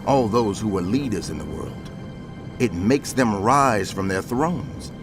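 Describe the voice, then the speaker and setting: An actor playing a prophet narrator, solemn and declamatory, in dramatic scripture narration. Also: male voice, lowish voice, lively intonation, a little slow. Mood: solemn declaiming. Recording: music under the voice.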